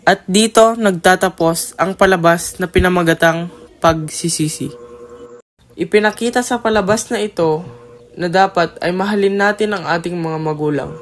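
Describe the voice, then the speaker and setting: A woman's voice: on the low side, moderate pace, slight background noise. A woman delivering scripted closing narration and a moral lesson for a puppet show.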